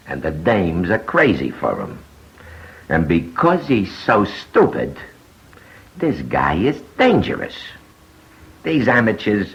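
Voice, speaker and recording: A man playing a cynical, tough-talking underworld informant with scornful, slangy delivery and a gravelly voice in an old radio crime show. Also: very deep voice, a bit bright, heavily acted. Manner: slangy, contemptuous, hard-boiled. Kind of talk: 1950s radio crime documentary monologue. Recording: muffled old radio broadcast.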